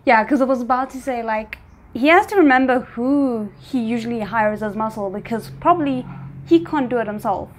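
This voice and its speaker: A woman giving casual reaction commentary, thinking aloud in an expressive way. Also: fairly high pitch, normal speed. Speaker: reaction video host.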